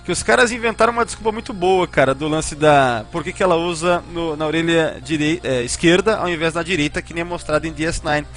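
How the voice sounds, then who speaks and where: A man's voice: a little high, fast, hardly any pauses, a bit bright. A man with a Brazilian Portuguese accent hosting a casual fan podcast, informal and often hesitant, checking for agreement with tag questions. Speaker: podcast host.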